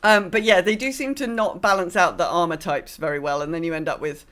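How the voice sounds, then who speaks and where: A woman's voice: fairly low pitch, fairly even intonation, brisk, fairly bright tone. Woman podcast co-host, informal and opinionated. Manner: opinionated, informal, mild swearing. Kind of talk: casual chat about a video game.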